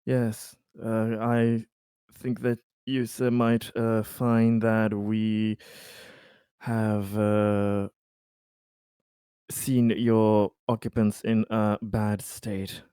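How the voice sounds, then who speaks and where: A slow, low-voiced corporate representative acted by a male player in a sci-fi tabletop game. He is polite and evasive, choosing formal words haltingly. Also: little pitch movement, mellow, clean tone, no echo, clean studio sound. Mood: polite, cautious.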